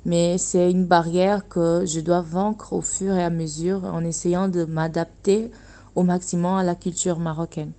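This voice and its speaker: A young woman from Angola speaks in a low, mellow voice. Portuguese is her first language, and she talks earnestly. She often restarts her sentences as she searches for words. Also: level tone, a little slow. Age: young adult. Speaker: guest, dressmaker running her own workshop. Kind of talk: radio interview testimony.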